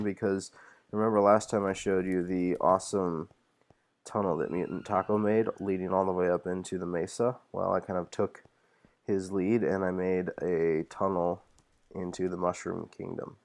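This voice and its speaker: A man with a slight cold casually narrating a walkthrough of his game builds, relaxed and rambling with lots of fillers and self-corrections. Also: sits low, creaky. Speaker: channel host showing his Minecraft server builds.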